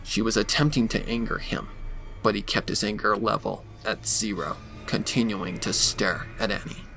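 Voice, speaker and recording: A man's voice: fairly low pitch, fairly even intonation, normal speed, vocal fry, sharp tone, soft background music. A man narrating a scripted horror story in a dramatic storytelling style. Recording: faint background noise.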